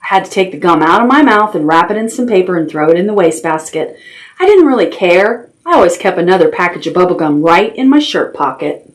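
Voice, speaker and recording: A woman's voice: fairly low pitch, big pitch swings, fast talker. A woman hosting a children's storytime, reading aloud expressively and talking simply and directly to young listeners. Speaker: library storytime host. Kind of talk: children's storytime with action songs and read-aloud.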